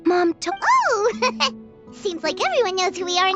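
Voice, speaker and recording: A woman's voice: very high-pitched, expressive, unhurried, highs cut off. A woman voicing a tiny, cheerful, childlike fairy companion in a video game, bubbly and proud. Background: loud game music.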